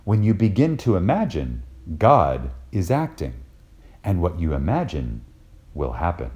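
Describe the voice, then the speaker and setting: A man reading a spiritual lecture aloud in a reverent, dramatic style, deliberate and emphatic, with pauses for effect. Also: sits low, wildly swooping pitch, darkish tone, muffled recording.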